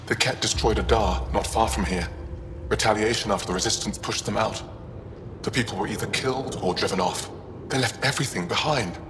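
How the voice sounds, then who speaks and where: A man's voice: on the low side, fairly quick, lightly acted. An actor playing an earnest, urgent male alien historian in a sci-fi video game. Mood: grave, urgent. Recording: long reverb, clear background noise.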